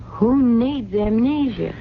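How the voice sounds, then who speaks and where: An actress playing a compassionate, plucky working-class American sister, direct and wry, in a 1970s radio drama. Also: female voice, fairly high pitch, takes it slowly. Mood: curious, direct. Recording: muffled, faintly noisy old radio recording.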